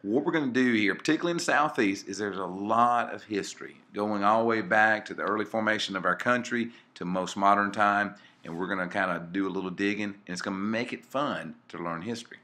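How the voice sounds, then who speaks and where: A man's voice: lowish voice, a little fast, hardly any pauses, sharp tone. A man, casually narrating a channel intro with many fillers and restarts. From Mississippi. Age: 40s. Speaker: channel co-host.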